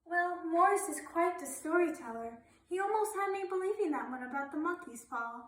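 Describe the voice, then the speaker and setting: A woman's voice: very high-pitched, animated, brisk, recorded from a distance. A young woman in an amateur school play acting an eager, dreamy young daughter. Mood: teasing. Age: young adult. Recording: echoey room.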